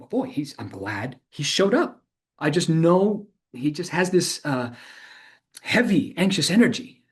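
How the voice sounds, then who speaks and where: An actor playing an enthusiastic, informal university instructor in a conversational monologue, animated and rambling, often interrupting themselves. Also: mid-range voice, close to an everyday voice. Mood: pleased, animated.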